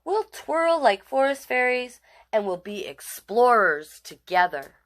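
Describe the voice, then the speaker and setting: A woman voicing an adoring, excitable little girl, an eager big sister in a children's storybook. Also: fairly high pitch, measured pace, pitched above the performer's own voice. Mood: tender, dreamy. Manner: exclaiming, eager storybook delivery.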